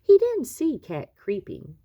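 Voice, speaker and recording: A woman reading a children's picture book aloud expressively, with dramatic, drawn-out emphasis on key words. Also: high-pitched, wildly swooping pitch, warm tone. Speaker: children's story reader.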